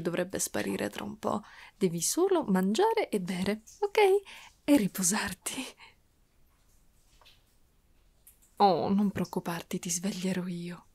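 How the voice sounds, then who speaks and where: A woman's voice: mid-range voice, a little mellow, exaggerated voice. A woman playing a doting, possessive dragon woman in an intimate ASMR roleplay, cooing and teasing as she lingers on her words. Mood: doting, caring.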